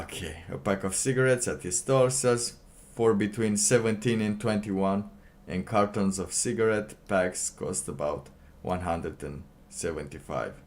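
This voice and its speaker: Slow, halting low-voiced man. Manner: halting, repeats phrases, sardonic. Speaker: livestream host. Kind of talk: casual livestream commentary, reading an article aloud and reacting.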